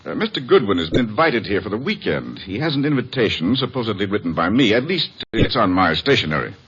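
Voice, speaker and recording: An actor playing a flustered, evasive middle-aged head of a household in an old radio drama, formal and hesitant. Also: male voice, fairly low pitch, sing-song, fast talker, a bit bright, slightly rough, heavily acted. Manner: hesitant, formal, fussily emphatic. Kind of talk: old-time American radio drama acting. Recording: muffled vintage radio recording.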